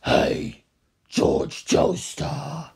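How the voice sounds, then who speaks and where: A narrator voicing a cold, disdainful superhuman male villain in a slow, deliberate, breathy whisper. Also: mid-range voice, big pitch swings, darkish tone, voice pushed down, heavily acted. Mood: exasperated.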